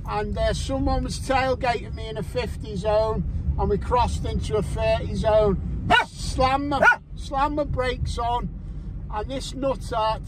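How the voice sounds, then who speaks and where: Brief: middle-aged Scouse driver, high voice, slow sweary chat, loud road noise in the car. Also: male voice. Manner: chatty, sweary, jokey asides to viewers. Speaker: metal detecting vlogger. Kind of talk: rambling casual vlog while driving. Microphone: camera mounted on the dashboard.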